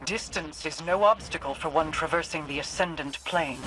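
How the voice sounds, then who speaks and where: A woman's voice: sits low, normal speed, background noise, lightly acted. A woman playing a regal, mystical queen in a video game, speaking in a formal, oracular manner. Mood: solemn, commanding. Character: regal mystical female queen, solemn and otherworldly.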